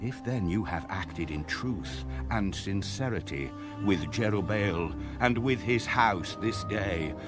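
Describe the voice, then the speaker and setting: A solemn, indignant accuser in an audio Bible drama, acted by a man. The male voice is low and very unhurried, declaiming each phrase deliberately over clear music. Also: lively intonation. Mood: solemn indignation.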